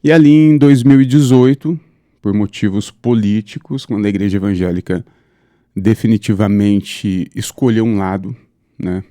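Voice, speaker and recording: A man in his thirties from São Paulo state, a pastor telling his life story fluently in a relaxed interview. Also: fairly low pitch, normal speed, dry recording. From São Paulo state, Brazil. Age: 30s. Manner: steady, fluent storytelling with frequent "né". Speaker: pastor, guest. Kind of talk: conversational interview, personal account.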